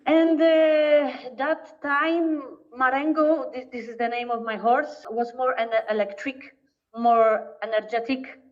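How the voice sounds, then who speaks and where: A woman's voice: sits high, fairly even intonation. Polish-accented woman, distant and muffled. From Poland. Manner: halting, searching for words. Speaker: guest. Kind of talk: casual interview talk. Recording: distant, dull lo-fi remote audio.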